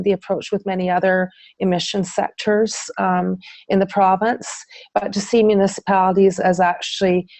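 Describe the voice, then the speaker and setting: Woman, webinar presenter, low voice, flat intonation, hesitant with fillers. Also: dry recording. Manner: hesitant, many ums and uhs, restarts. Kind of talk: answering audience questions in a webinar.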